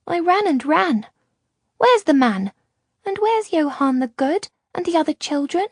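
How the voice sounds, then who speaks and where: A shrill, plaintive little girl, frightened and quick to accuse, speaking from a storybook, as a woman reader puts her on. Her voice climbs well above the reader's own, and the sound is close and dry. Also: sing-song, no room sound, exaggerated voice. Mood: accusing.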